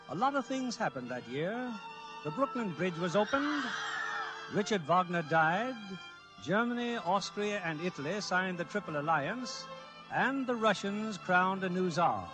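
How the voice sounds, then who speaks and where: A man's voice: sits high, sing-song, unhurried, music in the background. A man hosting a vintage radio show, reading scripted narration in a formal showman's manner. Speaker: radio host and producer. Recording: vintage 1940s radio broadcast.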